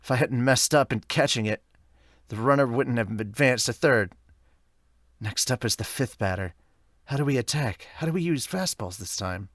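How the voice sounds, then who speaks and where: A man voicing a gruff, cool-headed young baseball player in a manga dub, grumbling in a low-key way. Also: moderate pace, no room sound, studio quality, close to an everyday voice.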